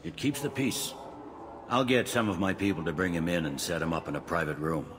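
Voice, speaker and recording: A man plays a pragmatic middle-aged police captain in a sci-fi game. His voice is on the low side, weary and plain-spoken, in a reverberant room with slight noise. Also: brisk, close to an everyday voice. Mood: resigned, brisk. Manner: plain-spoken, hedging, matter-of-fact. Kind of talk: video game voice acting.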